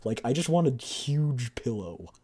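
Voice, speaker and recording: Rambling streamer with a soft, husky voice. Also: mid-range voice, measured pace. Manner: rambling, repetitive, excitable. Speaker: streamer reading a game story aloud. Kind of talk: casual livestream chat and voiced readthrough.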